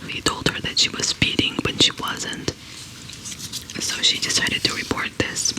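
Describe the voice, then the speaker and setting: Slow whispering woman recounting a true crime case. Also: rough recording. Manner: soft unvoiced whisper, close to the mic; crocheting while she talks. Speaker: ASMR host. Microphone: large studio condenser mic close to her face.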